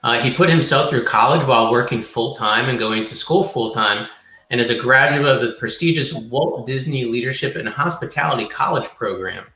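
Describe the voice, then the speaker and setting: An American man with a bright, forward voice at a middle pitch. He co-hosts a podcast, presenting and interviewing a guest. The room echoes around him. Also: normal speed. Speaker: podcast co-host. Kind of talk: reading a guest introduction, interviewing. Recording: roomy, echoing sound.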